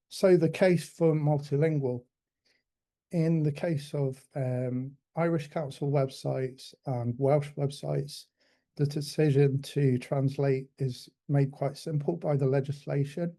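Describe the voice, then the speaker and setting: A man giving a conference talk with a software demo, pausing often with ums and restarting sentences. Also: medium pitch, gravelly. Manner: hesitant, many ums and restarts. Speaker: conference speaker.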